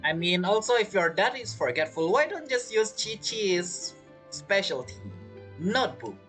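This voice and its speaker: A man's voice: high voice, very animated, unhurried, fairly bright tone, soft background music. A man giving casual playthrough commentary as a gaming streamer, chatty and musing aloud.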